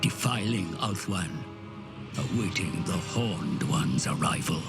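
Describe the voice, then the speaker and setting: An actor playing a grave, portentous narrator of an epic fantasy game chronicle, speaking in measured, theatrical phrases. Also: male voice, deep voice, animated, gravelly, exaggerated voice. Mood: solemn, grave. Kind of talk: solemn dramatic scripted narration of fantasy game cutscenes. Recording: loud orchestral music behind. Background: loud cinematic game music.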